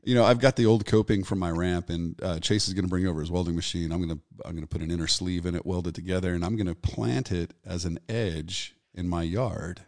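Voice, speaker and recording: Low-voiced host, 40s, loose and profane; dry close sound. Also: male voice, steady tone, quick pace, hardly any pauses, darkish tone, dry recording. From Texas. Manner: laid-back, profane, storytelling. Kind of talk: casual podcast conversation.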